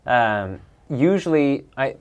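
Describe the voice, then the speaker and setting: A man's voice: medium pitch, expressive, slow, clear, resonant tone, muffled recording. A man in his thirties, raised in Peru and Bolivia, talking casually and with enthusiasm as an interview guest, often restarting his sentences. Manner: upbeat, enthusiastic, with frequent restarts. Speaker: guest, executive coach.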